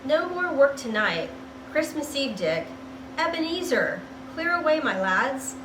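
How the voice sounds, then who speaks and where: A woman voicing a jovial, hearty old gentleman who calls out to his lads. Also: sits high, very animated, a little slow, pitched above the performer's own voice. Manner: hearty, calling out. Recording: roomy, distant mic, noisy background.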